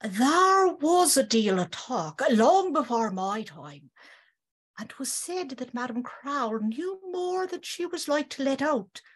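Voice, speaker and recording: A woman's voice: sits high, sing-song, slow, full character voice. A woman playing a secretive older servant telling tales in rustic, old-fashioned phrases for a gothic audio drama. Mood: ominous, confiding. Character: knowing, gossiping older female servant, secretive storyteller.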